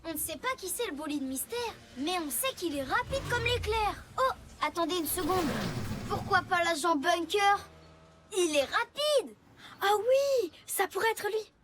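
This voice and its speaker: A woman voicing an eager, cheeky young motorbike with an excitable, childlike manner in a French cartoon. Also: very high-pitched, measured pace. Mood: determined. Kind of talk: French cartoon dub acting. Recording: music bed under the voice. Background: music.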